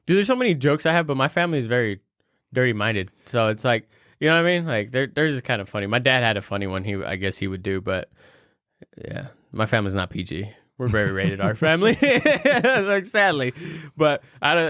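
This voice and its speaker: A young man co-hosting a podcast, chatting casually and joking, with lots of fillers, his pitch jumping up high when he quotes people. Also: big pitch swings, brisk, a bit bright, clean tone, dry recording, clean studio sound. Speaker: podcast co-host. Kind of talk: casual chat.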